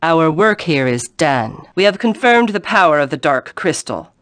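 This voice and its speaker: A woman's voice: low voice, sing-song, moderate pace, fairly bright tone, no echo. A woman voicing a scornful, commanding, smug villainess in a video game.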